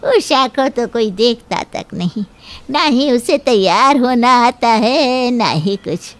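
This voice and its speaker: A woman voicing a doting, gossipy middle-aged mother-in-law in a Hindi cartoon, gushing with flattery. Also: fairly high pitch, slow. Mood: gushing, fond.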